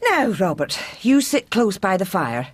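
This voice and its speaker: Bossy, fussing Scottish wife for period radio drama; animated, swooping delivery, husky edge. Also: female voice, medium pitch, a little slow, no room sound. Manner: nagging, talks over others.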